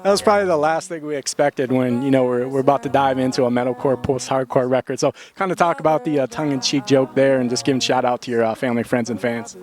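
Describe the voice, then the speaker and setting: A man's voice: mid-range voice, quick pace, hardly any pauses, darkish tone. A male interviewer asking long, winding questions full of "you know" and "uh", recorded at a music venue.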